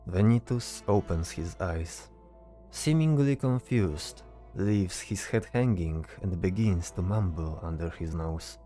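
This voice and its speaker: A man's voice: sits low, animated, takes it slowly, warm tone, faint music behind. A man narrating a scripted fantasy story as the narrator of an audio drama.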